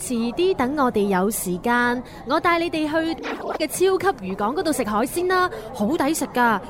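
A woman's voice: sits high, animated, moderate pace, background noise. A woman with a Hong Kong Cantonese accent playing a keen, easygoing, cheerful young woman in a radio drama.

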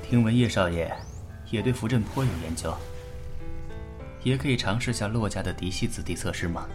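A stern clan uncle, voiced by a man, with a very low voice and an unhurried, commanding delivery. This is a fan audio drama, and faint music plays under him. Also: lightly acted. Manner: measured, commanding. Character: stern clan elder uncle, calm and authoritative.

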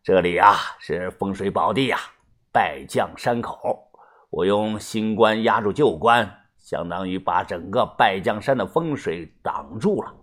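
A man's voice: fairly low pitch, expressive, fairly bright tone, voice pushed down. Man acting a commanding village feng shui master. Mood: knowing. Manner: ritual, drawn-out calling and bossy instructions.

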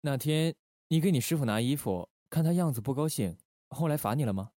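An actor playing a suave, calculating male intelligence station chief in a Republican-era spy radio drama, speaking in a smooth, measured, teasing manner. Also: medium pitch, animated, warm tone, no echo, studio quality, natural voice. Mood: gentle.

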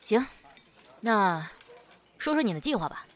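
An easygoing well-off businessman played by a woman in an audio drama. The voice sits at a medium pitch for a woman and has a teasing way about it. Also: sing-song, unhurried, fairly bright tone, a little hiss or hum. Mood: interested. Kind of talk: Chinese amateur radio drama acting.

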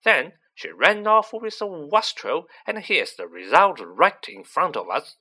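A man's voice: on the high side, big pitch swings, unhurried, pushed and bright, breathy edge, pitched above the performer's own voice, exaggerated voice. A man voicing a boorish, snobbish middle-aged aunt in a storybook, with a blustering, contemptuous delivery. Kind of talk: storybook character voice.

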